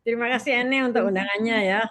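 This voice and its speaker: A woman's voice: on the high side, fast, fairly bright tone. A woman in her sixties with an Indonesian accent, speaking as a talk guest in a casual, chatty, colloquial way. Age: 60s. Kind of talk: casual chat.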